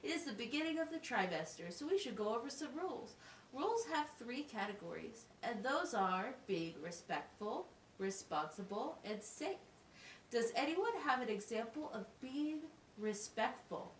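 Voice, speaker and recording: High, lively woman teaching in a roomy space. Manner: instructive, simple wording with deliberate enunciation. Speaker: puppeteer playing a teacher. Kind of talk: slow, scripted classroom puppet show. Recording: roomy, distant mic with faint noise.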